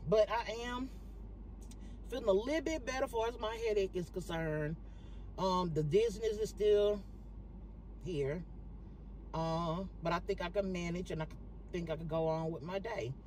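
A woman's voice: on the low side, moderate pace, pauses often, a little hiss or hum, poor audio. Chatty woman vlogging from her parked car. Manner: chatty, animated, talks straight to viewers. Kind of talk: casual vlog chat to viewers.

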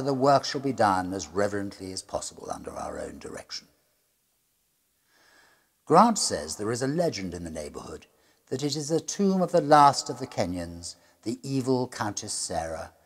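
A middle-aged man reading a gothic horror tale as a diary, in a grave, deliberate manner that sinks to a hush at the ends of phrases. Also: mid-range voice, husky. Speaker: storyteller reading a classic ghost story aloud. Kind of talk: dramatic gothic horror narration, read as a diary. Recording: music under the voice. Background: music.

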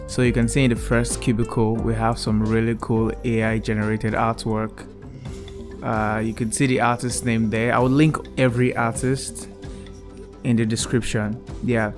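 A man's voice: mid-range voice, moderate pace. A young man hosting his own channel, giving a casual, enthusiastic walkthrough of a VR art gallery he built, recorded on earbuds indoors. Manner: relaxed, enthusiastic, repeats "really cool". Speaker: YouTube creator. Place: indoor room, wearing VR headset. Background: soft background music.

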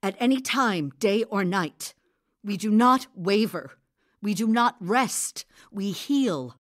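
A woman's voice: medium pitch, animated, slow pace, fairly bright tone, no room sound, studio quality. An actress playing a guarded, proud village healer in a radio drama, curt and evasive in her stern manner. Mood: stern, withholding.

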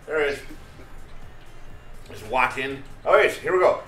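A man's voice: mid-range voice, animated, a little slow, fairly bright tone, roomy sound, faint music behind. A middle-aged man hosting a casual group game raid on stream, chatting playfully with friends and laughing easily. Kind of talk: casual gaming chat with friends. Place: home streaming room.

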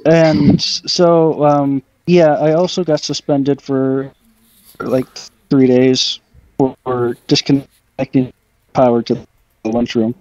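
A man's voice: mid-range voice, level tone, takes it slowly, a little mellow, no room sound. A young American man casually telling personal stories over gaming voice chat. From United States, Michigan. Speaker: guest storyteller on gaming video. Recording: dull lo-fi voice chat.

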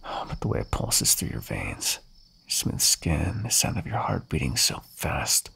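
A man voicing a centuries-old male vampire in an ASMR audio roleplay, taunting and mocking the listener he calls dearie. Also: exaggerated voice. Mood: hungry whisper. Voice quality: low gravelly voice, husky.

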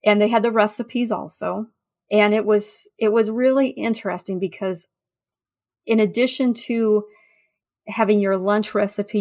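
A woman guest in a conversational podcast interview, telling anecdotes in a halting, thinking-aloud way. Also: mid-range voice. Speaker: interview guest, food history researcher.

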